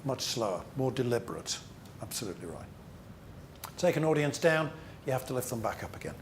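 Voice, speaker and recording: Male seminar trainer, medium pitch, emphatic and repetitive for effect, interactive teaching style, light background noise. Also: big pitch swings. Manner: emphatic, rhetorical questions and deliberate repetition. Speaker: business presentation trainer.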